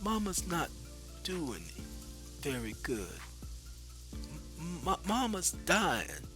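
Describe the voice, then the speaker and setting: A frail, tearful mother speaks low and hushed, her voice lifted higher as a man puts her on, halting with weakness. Faint music plays behind. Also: wildly swooping pitch, exaggerated voice. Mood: tender, weak. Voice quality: soft, weak, tearful. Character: dying gentle mother, weak and tender.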